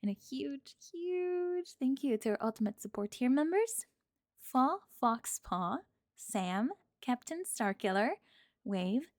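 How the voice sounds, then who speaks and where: Higher-pitched woman hosting an ASMR channel. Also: a little mellow, no room sound, clean studio sound. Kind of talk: scripted sign-off and shout-outs.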